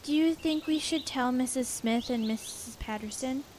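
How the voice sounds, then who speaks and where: Girl camper played by a female actor, young, earnest, uneasy, high register, amateur radio play. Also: moderate pace, darkish tone, slight background noise, natural voice. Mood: earnest, curious. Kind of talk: amateur audio drama acting.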